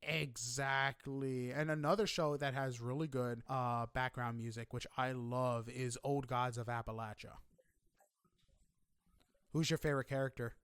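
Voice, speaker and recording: A man's voice: mid-range voice, level tone, measured pace, a bit bright, clear, resonant tone, no echo. A man hosting a casual interview, chatting in an enthusiastic, emphatic way. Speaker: podcast host interviewing a guest.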